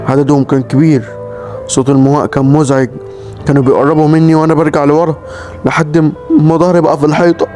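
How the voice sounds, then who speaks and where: A man's voice: fairly high pitch, dark tone. A man with an Egyptian Arabic accent telling a first-person horror story in a dramatic, tense scripted narration.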